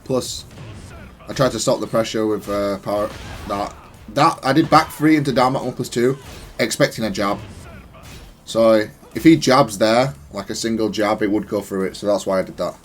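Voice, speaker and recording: A man's voice: on the low side, normal speed, soft background music. A man giving casual, analytical gameplay commentary in a matter-of-fact, self-critical way. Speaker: gaming content creator.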